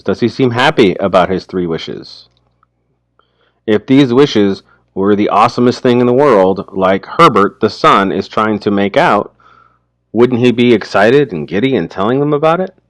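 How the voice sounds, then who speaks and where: A man's voice: lowish voice, animated, a little slow, no echo. A man explaining a short story in an informal, colloquial teaching style, checking in with pointed questions.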